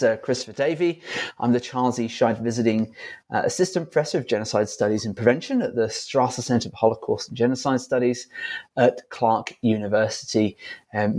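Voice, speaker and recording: A man's voice: mid-range voice, a little mellow. A man hosting a book podcast, an assistant professor introducing his guest formally with occasional fillers.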